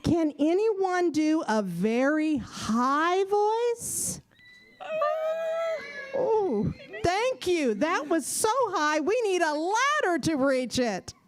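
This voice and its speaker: A woman with a very high, swooping voice co-presents a scripted show for children. She speaks slowly, with playful, theatrical questions. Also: big pitch swings. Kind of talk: scripted stage patter for children. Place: live event at a public library.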